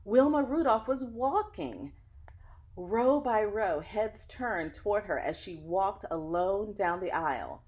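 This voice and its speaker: A woman's voice: mid-range voice, expressive, slow, darkish tone. A woman reading a children's story aloud like a teacher, with dramatic phrasing and an engaging way of addressing young listeners.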